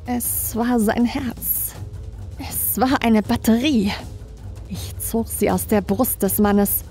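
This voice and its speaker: A woman's voice: fairly high pitch, fairly even intonation, slow pace, warm tone. A woman reading scripted first-person horror narration as a creepypasta narrator. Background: background music.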